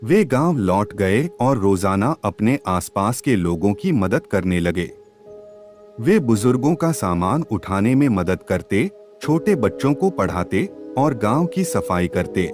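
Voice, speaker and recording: A man narrating a scripted children's story in a measured, expressive storybook manner. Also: on the low side, faint music behind.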